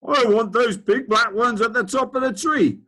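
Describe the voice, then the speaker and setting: A man's voice: very high voice, normal speed, pitched above the performer's own voice, exaggerated voice. A man voicing a pompous, short-tempered old duke who bellows and splutters. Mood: greedy demanding. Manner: blustering, shouting.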